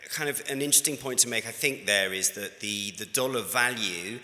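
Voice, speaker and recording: A man's voice: lowish voice, a little fast, bright, forceful tone. A British man giving a conversational conference presentation, talking through slides with frequent fillers and restarts. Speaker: conference speaker. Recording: reverberant conference hall.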